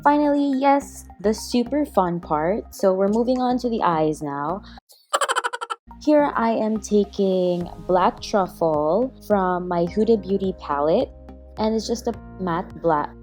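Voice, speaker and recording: A woman's voice: mid-range voice, takes it slowly, darkish tone. A woman giving a casual makeup tutorial voice-over in a chatty, self-deprecating way. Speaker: beauty vlogger. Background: background music.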